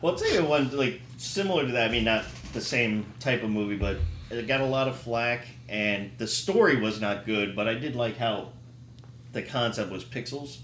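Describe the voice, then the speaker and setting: A man's voice: mid-range voice, moderate pace, a bit bright. A middle-aged man talking casually as a co-host on a podcast. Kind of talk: casual chat. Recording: roomy echo, faint background noise.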